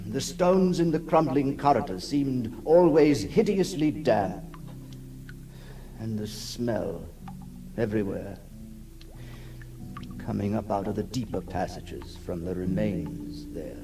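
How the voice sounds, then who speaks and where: A haunted man raised in a decaying castle, brooding aloud in a 1960s radio horror tale. His low voice crackles with gravelly fry, and he speaks very slowly and gravely, with theatrical pauses. The old recording sets him somewhat away from the mic. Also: far from the mic. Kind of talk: 1960s radio horror monologue, dramatic first-person narration.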